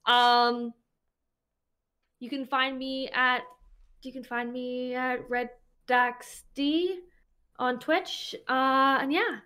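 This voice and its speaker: Hesitant woman panelist, higher voice. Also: steady tone, very slow, pauses often, pushed and bright, clean tone. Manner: hesitant, many restarts. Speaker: panelist and streamer. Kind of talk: casual debate chat.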